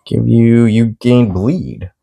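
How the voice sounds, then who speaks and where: A man's voice: medium pitch, slow pace, vocal fry, dark tone. A man playing a tabletop game as the opponent, chatting relaxedly about his plays. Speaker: co-host, opponent player. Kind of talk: casual tabletop game talk.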